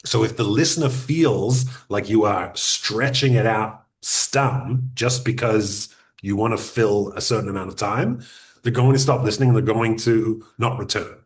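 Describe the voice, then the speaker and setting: A man teaching a podcasting workshop in a conversational way, speaking deliberately, using pauses and sometimes restarting his sentences. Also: on the low side. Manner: addresses audience directly with practical advice.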